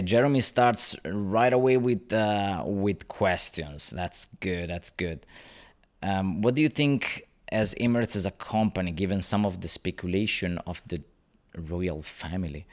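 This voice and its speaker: Low-voiced man chatting on a livestream. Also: a little slow. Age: 30s. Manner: chatty, greets viewers by name, frequent fillers and restarts. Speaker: YouTube channel host. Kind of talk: casual livestream chat with viewers. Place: rented apartment.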